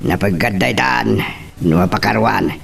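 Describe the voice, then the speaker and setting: A man's voice: on the low side, a little slow, gravelly, fairly bright tone, husky, heavily acted. A man acting a male confidant in an Ilocano radio love drama. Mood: conversational.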